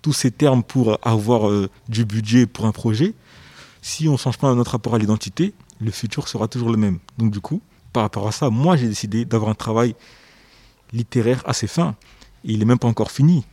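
A man's voice: mid-range voice, brisk, dark tone, breathy edge, no room sound. A young man, an author being interviewed on the radio, speaking thoughtfully and hesitantly, often repeating words as he searches for phrasing. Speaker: author guest.

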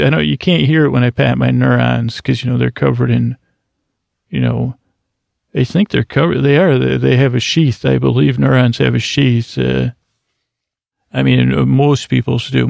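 A man's voice: mid-range voice, gravelly, a little mellow, no echo. A man hosting his own podcast, rambling and self-mocking, often laughing at his own jokes. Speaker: podcast host. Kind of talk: rambling solo podcast intro.

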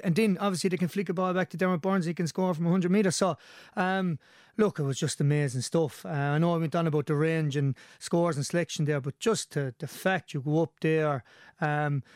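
A man with a Limerick Irish accent talks sport in a casual, enthusiastic, rambling way. His voice sits on the high side and is slightly hoarse. The sound is dry, with very little room on it. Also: a little mellow, no room sound. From Limerick, Ireland. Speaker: sports podcast pundit and commentator.

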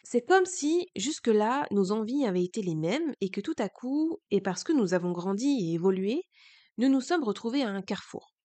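A woman's voice: medium pitch, very animated, normal speed, a little mellow. A woman hosting a podcast, speaking candidly and earnestly in a reflective personal monologue, her voice changed by a cold. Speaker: podcast host.

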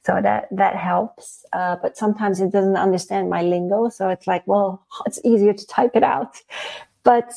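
A woman from the Netherlands, telling personal stories in a casual, animated, halting way as an interview guest. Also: mid-range voice, vocal fry. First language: Dutch. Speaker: interview guest, spiritual channeler. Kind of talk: casual conversational storytelling.

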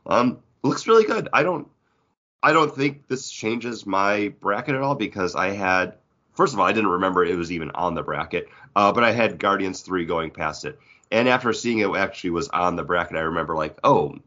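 Bright, animated man in casual chat. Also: low voice, a little fast, highs cut off. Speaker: podcast co-host. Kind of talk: casual panel chat about films.

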